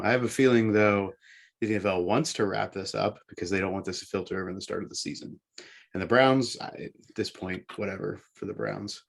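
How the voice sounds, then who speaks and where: Male sports co-host with a lowish, husky voice, measured and casual, reasoning things out aloud.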